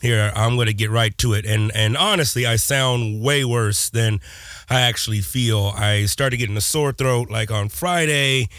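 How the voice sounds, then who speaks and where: A man hosting a podcast, hoarse as he recovers from a lost voice, speaking casually in a rambling, hesitant way with lots of fillers. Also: medium pitch, moderate pace, fairly bright tone, dry recording, clean studio sound. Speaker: podcast host.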